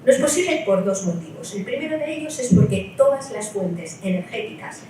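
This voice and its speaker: A woman's voice: medium pitch, lively intonation, moderate pace, warm tone, a little hiss or hum. A woman from Spain giving a public lecture at a political meeting. She explains her points step by step and often checks in with the audience through short rhetorical tags. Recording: echoey hall, mic at a distance.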